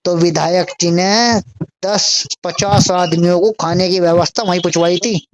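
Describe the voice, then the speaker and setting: A man's voice: high-pitched, steady tone, a little slow, dry recording. A man with a western Uttar Pradesh accent speaking fervently and insistently on a recorded phone call, repeating himself to press his point. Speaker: supporter of a local politician. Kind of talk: informal phone conversation.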